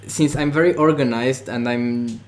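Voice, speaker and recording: A man's voice: mid-range voice, moderate pace. Hesitant man with a Romanian accent. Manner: hesitant, many fillers and restarts. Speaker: online course creator. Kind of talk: interview conversation.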